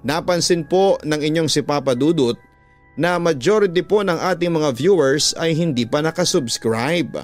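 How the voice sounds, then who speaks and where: A man's voice: a little high, moderate pace. Filipino man narrating drama over prominent music. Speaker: radio drama host and narrator. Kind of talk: dramatic scripted story narration. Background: background music.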